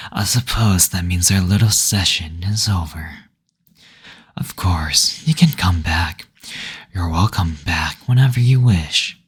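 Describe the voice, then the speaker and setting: A man playing a teasing, gentle male demon in an intimate roleplay audio, speaking softly and breathily, often near a whisper, in a tender, unhurried manner. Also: low voice, breathy edge, exaggerated voice. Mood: fond, apologetic.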